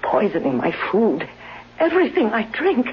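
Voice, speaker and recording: A woman's voice: mid-range voice, huge pitch swings, normal speed, a little hiss or hum. An actress playing a desperate bedridden wife in a 1970s radio drama, pleading and agitated, convinced she is in danger. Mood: urgent pleading. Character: desperate bedridden invalid wife fearing she is being poisoned. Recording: muffled old radio recording.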